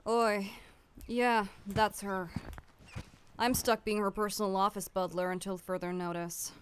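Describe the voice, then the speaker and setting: A woman voicing an exasperated, sensible older-sister type, stern and sardonic but caring, in an animated comic dub with music behind her. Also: medium pitch, measured pace, a little hiss or hum, lightly acted. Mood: weary.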